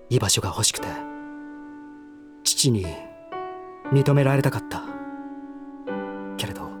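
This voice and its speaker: A male actor playing a refined, sheltered young gentleman in a voice drama, speaking formally and earnestly. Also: mid-range voice, natural voice. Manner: formal, stiff, earnest speech. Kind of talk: Japanese voice drama acting.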